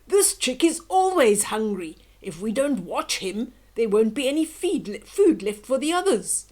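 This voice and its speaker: A woman's voice: on the high side, big pitch swings, measured pace, darkish tone. A woman voicing an exasperated, complaining father duck in a children's storybook. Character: grumbling father duck, fretting over food.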